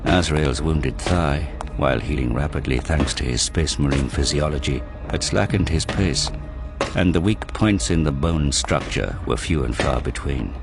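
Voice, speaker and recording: Very deep-voiced male dramatic narrator. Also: normal speed. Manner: intense, grave, literary delivery. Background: prominent music and sound effects.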